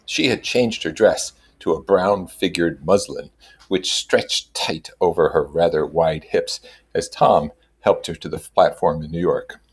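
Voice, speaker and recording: A man's voice: deep voice, a little slow, slightly rough. A man reading a novel aloud as narrator, adding his own comments. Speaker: reader and commentator.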